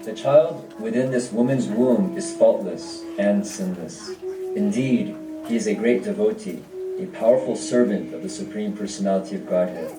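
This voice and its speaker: A man playing a grave, kindly celestial sage in a devotional puppet show, speaking solemnly and deliberately. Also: lowish voice, lightly acted. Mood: solemn, protective. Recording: roomy, distant mic, loud music behind.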